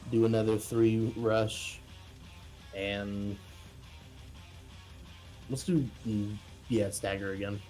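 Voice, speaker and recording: A man's voice: fairly low pitch, little pitch movement, takes it slowly, pauses often, mellow, poor audio. A man talking casually as a player in a tabletop role-playing game, informal and sweary. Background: guitar music.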